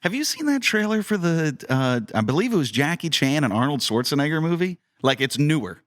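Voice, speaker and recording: A man's voice: fairly high pitch, sing-song, a little fast. A man hosting a casual podcast interview, asking questions in an informal, enthusiastic way.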